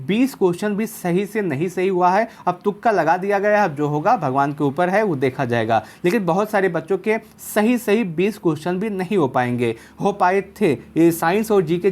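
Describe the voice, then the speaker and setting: A woman's voice: fairly low pitch, a little mellow. A woman speaks as a coaching presenter. She addresses students informally, explaining step by step and going back over key points to stress them.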